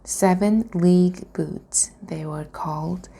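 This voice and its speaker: A woman reading a children's storybook aloud in a deliberate way. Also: lowish voice, steady tone, dark tone, breathy edge. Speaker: reader practicing reading aloud.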